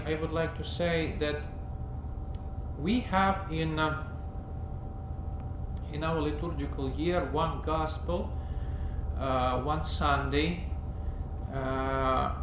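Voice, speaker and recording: A man, a priest, giving a teaching sermon in a slow, halting way, pausing often and repeating words for emphasis. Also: a little high. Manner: slow, halting, with many pauses and fillers. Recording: roomy, dull, noisy recording.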